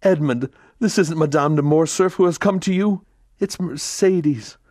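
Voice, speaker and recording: A man's voice: on the high side, measured pace, darkish tone, dry recording, studio quality, pitched above the performer's own voice. A man voicing an anguished middle-aged noblewoman, a mother begging for her son's life in a desperate, imploring way. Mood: despairing.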